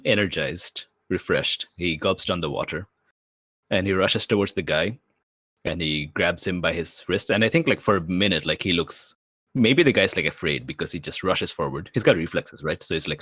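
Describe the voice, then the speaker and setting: Male player, deep voice, casual improvised roleplay narration, very dry close sound. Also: expressive, normal speed, a bit bright, breathy edge, dry recording. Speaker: tabletop game player.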